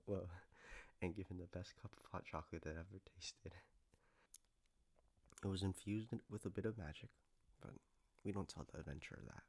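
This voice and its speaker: A man acting as a doting boyfriend in an intimate comfort roleplay. His voice sits low with plenty of gravelly fry. He speaks tenderly and a little haltingly, with gentle playfulness. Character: gentle, doting male partner soothing a lover after a nightmare.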